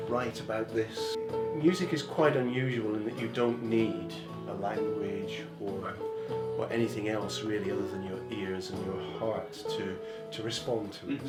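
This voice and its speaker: The sort of man heard explaining his craft in an arts documentary, feeling for his words in a roomy space over guitar music. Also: on the low side. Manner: thoughtful, halting delivery. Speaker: theatre musician leading workshops. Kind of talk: reflective interview talk. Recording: roomy echo, music underneath.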